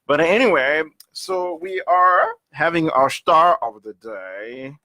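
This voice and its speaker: A man's voice: a little high, sing-song, slow, pushed and bright, no echo. A man hosting a breakfast radio show, playful and teasing, full of "you know" as he chats. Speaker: radio host.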